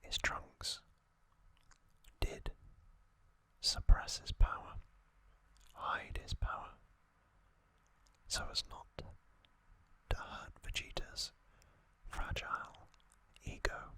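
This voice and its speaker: Slow, crisp whispering ASMR host. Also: pushed and bright, no echo. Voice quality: breathy unvoiced whisper. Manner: slow, deliberate, with pauses between short phrases.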